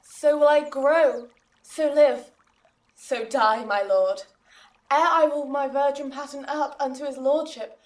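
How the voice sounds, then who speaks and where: An actress playing a defiant young maiden in a Shakespearean audio drama, speaking earnestly and formally. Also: female voice, high voice, slow pace. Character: defiant young maiden pleading for her love. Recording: distant from the microphone.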